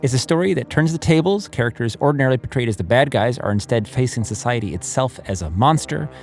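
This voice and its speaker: A man's voice: medium pitch, lively intonation, fast, a little mellow, faint music behind. A man speaking as host and producer, giving a scripted introduction to a fiction podcast.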